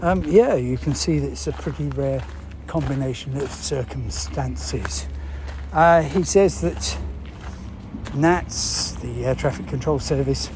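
A man explains a news story in unscripted, halting talk, thinking aloud and posing rhetorical questions. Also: mid-range voice. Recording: clear background noise.

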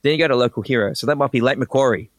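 A man with an Australian accent, a podcast guest explaining ideas in quick, enthusiastic bursts with frequent restarts. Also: mid-range voice, no echo. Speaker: guest, personal branding expert. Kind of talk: casual podcast interview.

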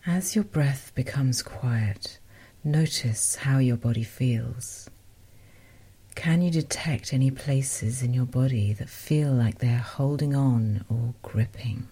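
A woman softly guiding a meditation as host, in a gentle, unhurried, breathy voice that often nears a whisper. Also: extremely low pitch. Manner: gentle, unhurried, softly spoken with short phrases. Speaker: meditation guide and podcast host. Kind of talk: guided meditation, soothing instruction.

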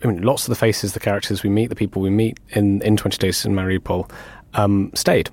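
A man's voice: on the low side, fairly even intonation, fairly quick, darkish tone, no echo. A man with a British accent hosting an interview, asking thoughtful, slightly hesitant questions. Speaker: podcast host, interviewer.

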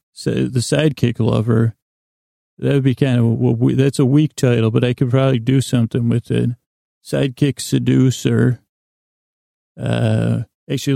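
Male bedtime podcast host: very creaky, flat delivery, meandering and halting, lulling monologue. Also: medium pitch, dry recording, clean studio sound. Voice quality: gravelly, creaky. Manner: meandering, halting, self-interrupting. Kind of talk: rambling bedtime monologue to lull listeners to sleep.

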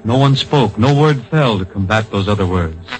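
An actor playing an earnest, solemn storyteller, narrating in poetic phrases with dramatic pauses in an old-time radio drama. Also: male voice, on the low side, expressive, lightly acted, poor audio. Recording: vintage radio broadcast with music.

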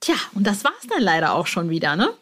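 A woman's voice: fairly low pitch, sing-song, fast talker, fairly bright tone. A woman hosting a podcast in an informal, chatty and effusive way, with casual announcements. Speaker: podcast host.

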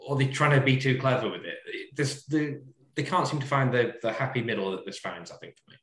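A man co-hosting a podcast, measured and easygoing in casual chat. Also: mid-range voice, expressive, fairly bright tone, echoey room. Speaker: podcast co-host.

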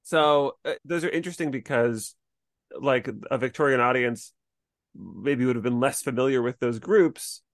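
Guy hosting a podcast, just chatting, average pitch, really dry room. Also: moderate pace, no echo. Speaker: podcast host. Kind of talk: casual chat.

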